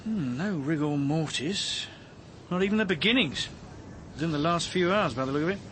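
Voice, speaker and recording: Blunt, wry detective inspector for radio crime drama. Bright, forceful delivery, animated intonation. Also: male voice, on the high side, moderate pace, a little hiss or hum. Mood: matter-of-fact. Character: gruff, sardonic male police inspector. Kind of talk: acted British radio drama dialogue.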